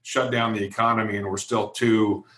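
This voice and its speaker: Male interview guest, American accent. Fairly deep, level pitch, emphatic plain talk, recorded in an echoey room. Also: level tone, normal speed, fairly bright tone. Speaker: interview guest, events company executive. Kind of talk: conversational interview answers.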